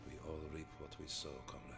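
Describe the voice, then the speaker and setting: A man acting as a stern, menacing Soviet officer in a video game cutscene, speaking with measured authority. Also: extremely low pitch, flat delivery, gravelly, loud music behind the voice. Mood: quiet, grave.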